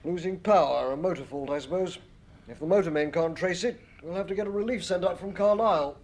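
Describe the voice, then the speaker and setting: High-voiced polite male ticket inspector. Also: brisk, a little mellow. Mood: courteous. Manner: polite, explanatory. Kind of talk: radio drama acting.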